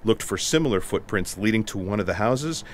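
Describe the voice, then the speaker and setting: A man's voice: lowish voice, expressive, fairly quick, slight background noise, close to an everyday voice. A man playing an unflappable special agent in a comic audio drama, dry and matter-of-fact, with wordy explanations. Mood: deadpan. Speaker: voice actor. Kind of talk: comic sci-fi audio theatre acting.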